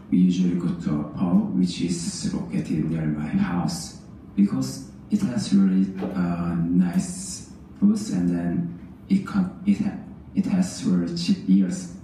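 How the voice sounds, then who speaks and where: Man, Korean native speaker, halting English exam answer, very slow, very dark tone, roomy playback heard from far. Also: deep voice, slight background noise. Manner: slow, halting, with many fillers. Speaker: student answering an exam question. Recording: roomy sample played over speakers, far.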